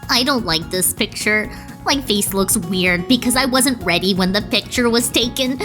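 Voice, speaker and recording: A woman's voice: medium pitch, moderate pace, lightly acted. Earnest, proper female monster played by a woman, mid-range voice for a cartoon dub, over music. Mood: self-conscious.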